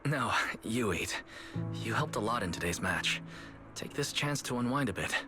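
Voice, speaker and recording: A man playing a kind, composed young manager in a game cutscene, speaking in a gentle, measured way. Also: medium pitch, animated, lightly acted. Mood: gentle, reassuring. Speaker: video game voice actor. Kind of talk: animated game cutscene dialogue. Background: background music.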